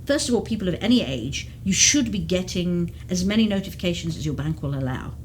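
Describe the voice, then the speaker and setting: A woman's voice: on the low side, animated, a little fast, a little hiss or hum, poor audio. A woman who works as a geriatric case manager, explaining at length in an interview with frequent restarts. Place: community TV studio.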